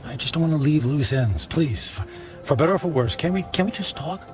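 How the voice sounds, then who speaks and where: An actor playing a remorseful middle-aged businessman in a radio drama, pleading with his ex-wife in a halting, stammering, earnest way. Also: on the high side, animated, natural voice. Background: dramatic background music.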